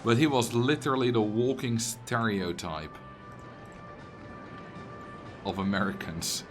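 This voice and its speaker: A man's voice: on the low side, animated, takes it slowly. Chatty Dutch-accented man streaming. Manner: chatty, rambling, answers viewers' messages. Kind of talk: casual livestream chat while playing a game. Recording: game music playing behind.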